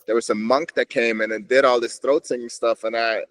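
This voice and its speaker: A man's voice: medium pitch, fairly even intonation, a little fast, a bit bright. A man from Switzerland, a podcast guest reflecting in a meandering, casual way with frequent restarts. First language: Swiss German. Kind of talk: casual conversation.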